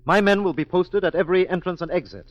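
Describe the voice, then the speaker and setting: An actor playing a confident, dismissive police inspector, formal and clipped, in 1940s radio style. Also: male voice, high-pitched, expressive, fast, dry recording, lo-fi sound. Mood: firm, condescending.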